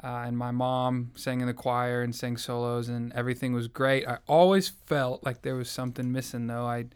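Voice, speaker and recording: Young guy telling his faith story, earnest, smooth voice, pretty even tone. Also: medium pitch, moderate pace. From American South. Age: young adult. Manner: earnest, reflective. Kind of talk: personal testimony in conversation.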